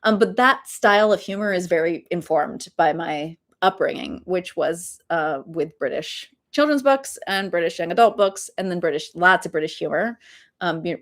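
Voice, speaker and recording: A middle-aged woman author chatting casually about her favorite funny books, with lots of ums and self-mocking asides. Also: on the low side. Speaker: author talking to her readers. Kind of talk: casual book recommendation talk.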